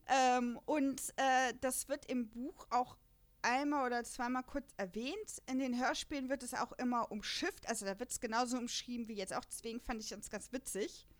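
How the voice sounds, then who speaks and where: A woman's voice: on the high side, fairly even intonation, normal speed. A young adult woman hosting a casual podcast, chatty and explaining at length with many fillers. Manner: chatty, many fillers, laughs between points. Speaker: podcast host. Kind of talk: casual podcast chat about an audio drama.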